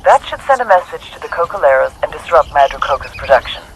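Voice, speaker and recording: An actor playing a brisk, matter-of-fact intelligence handler giving a scripted mission briefing in a game. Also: female voice, low voice, very animated, slight background noise, low-quality recording. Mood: businesslike. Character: businesslike intelligence handler briefing special forces soldiers.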